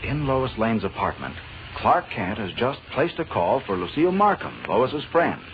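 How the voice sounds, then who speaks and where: Man as dramatic, animated radio serial narrator. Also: mid-range voice, normal speed, natural voice. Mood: tense, dramatic. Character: dramatic, suspense-building male serial narrator. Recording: muffled, hissy old radio recording.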